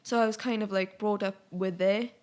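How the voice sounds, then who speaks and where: A woman's voice: medium pitch, a little fast. Giggly young Welsh woman. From Wales. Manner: giggly, relaxed, laughs often. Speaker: musician guest. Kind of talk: casual podcast chat.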